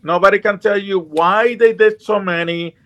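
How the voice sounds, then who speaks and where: A man's voice: high voice, steady tone, moderate pace, fairly bright tone. A man from Puerto Rico with a Puerto Rican accent, a guest talking earnestly over a video call and often restarting his sentences. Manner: earnest, rambling, frequent restarts. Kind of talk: casual chat.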